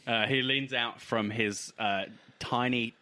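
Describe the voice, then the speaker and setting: A man acting as game master, narrating an improvised tabletop game in a casual, halting way. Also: medium pitch, fairly even intonation, bright, forceful tone.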